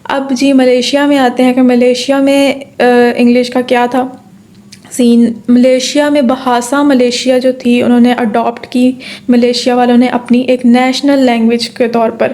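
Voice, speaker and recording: Woman tutoring with a flat, mellow voice. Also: a little high, measured pace. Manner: explains step by step, repeats points, asks and answers own questions; frequent fillers and "theek hai" checks. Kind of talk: exam-prep lecture in Urdu-English mix.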